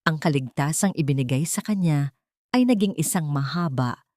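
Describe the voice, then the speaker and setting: Low-pitched woman narrating a drama. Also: animated, moderate pace, darkish tone, no room sound, studio quality. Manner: solemn, melodramatic storytelling. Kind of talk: dramatic scripted story narration.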